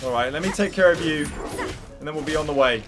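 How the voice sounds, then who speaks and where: A woman's voice: low-pitched, fast, music in the background. A woman giving casual, chatty video game commentary as a streamer, with playful short asides.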